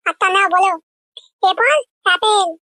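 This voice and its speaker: A performer voicing a bossy, innocent little girl in a comedy cartoon, childlike, with a pitched-up voice. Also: level tone, slow, bright, forceful tone, no room sound, studio quality, full character voice. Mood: instructing. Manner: instructing, childlike.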